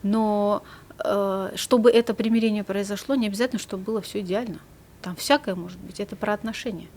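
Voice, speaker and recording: A woman with a medium-pitched voice, explaining calmly in a studio talk. Her phrases often trail off softly at the end, and a faint hiss runs under the recording. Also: brisk. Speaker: psychologist guest. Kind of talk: studio conversation.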